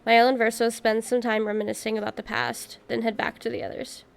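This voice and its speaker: Casting: female streamer reading game scenes aloud, fairly high voice with noticeable vocal fry, faint music behind. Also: flat delivery, a little fast. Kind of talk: reading and narrating game cutscenes aloud, with commentary.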